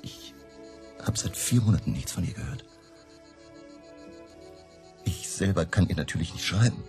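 A hunted refugee confides in a very deep, creaky voice. He is weary and resigned, halting as he goes. Music plays clearly behind this radio drama. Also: animated. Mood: anguished, resigned. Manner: halting, subdued confessional storytelling. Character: hunted German political refugee, weary, fearful, resigned man.

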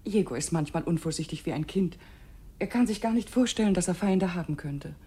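An actress playing an anxious, devoted upper-class wife in a German radio play, speaking in a refined, confiding manner. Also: female voice, on the low side, a little fast, warm tone, slightly rough. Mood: confiding, concerned.

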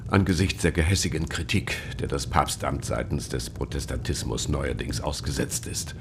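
An actor playing a stern, commanding seventeenth-century cardinal in a German radio drama, gravelly and formally authoritative. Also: male voice, normal speed. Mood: stern, insistent. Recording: noisy recording with low rumble.

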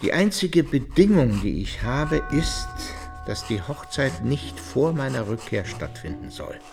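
A man playing a dignified, stern older gentleman in a German radio play, voicing a formal letter in a halting, deliberate manner. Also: medium pitch, big pitch swings, vocal fry, faint music behind. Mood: measured, formal.

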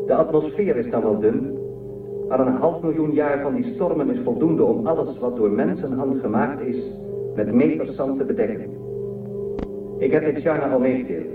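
Male-acted android aide, sci-fi audio drama: formal data reports, mid-pitched, roomy, music underneath. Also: lightly acted. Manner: precise, formal, impersonal. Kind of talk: science fiction radio drama acting. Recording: distant echoey lo-fi band, music behind.

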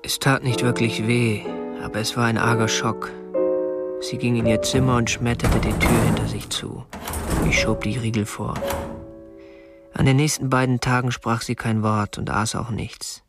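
An actor playing a prim, obsessive young kidnapper in a radio drama, speaking in a stiff, formal, matter-of-fact way. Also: male voice, medium pitch, little pitch movement, normal speed, loud music behind the voice, lightly acted. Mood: detached recounting. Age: 20s. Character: prim, obsessive young male kidnapper, narrating his deed. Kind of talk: radio drama narration and dialogue.

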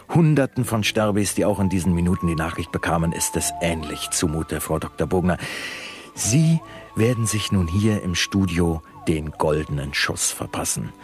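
An actor playing a slick, callous studio show host with a showy manner in a dystopian satirical radio play. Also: male voice, sits low, lightly acted. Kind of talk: satirical science fiction radio play acting. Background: music.

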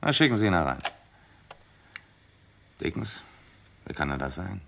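An actor playing a curt, authoritative chief inspector with dry courtesy, in a vintage German radio crime play. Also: male voice, deep voice, big pitch swings, a little slow, vocal fry, fairly bright tone, a little hiss or hum, full character voice. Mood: brisk, businesslike. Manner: curt, commanding, dryly courteous. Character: brisk, authoritative male police chief inspector. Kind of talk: 1950s-80s German radio crime drama acting.

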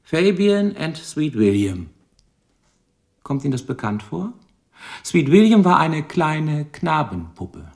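Crime drama lieutenant: shrewd, dryly ironic, deliberate questioner, creaky voice, lively intonation. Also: male voice, on the high side, heavily acted. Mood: dryly pressing. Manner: probing, deliberate, dry irony. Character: shrewd, dogged male police lieutenant questioning suspects. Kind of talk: radio crime drama acting.

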